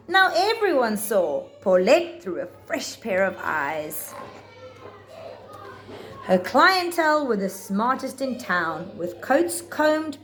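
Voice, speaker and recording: A woman reads a children's picture book aloud in a fairly high voice. Her pitch moves around expressively, and she takes her time, placing phrases deliberately and theatrically for young listeners. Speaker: children's storybook reader. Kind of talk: expressive read-aloud of rhyming picture books.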